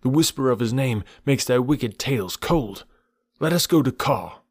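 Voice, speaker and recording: A man voicing an anxious, fussing old male bear who wails and laments loudly in a storybook reading. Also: expressive, dry recording, clean studio sound. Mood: urgent. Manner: loud, high and wailing, drawn-out cries.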